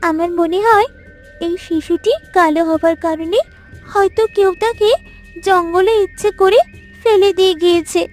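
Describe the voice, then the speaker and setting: A woman's voice: very high voice, fairly even intonation, a little slow, soft background music. A woman voicing a confident, curious female jungle animal in a cartoon, bold and coaxing in manner. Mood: speculating. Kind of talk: cartoon story voice acting.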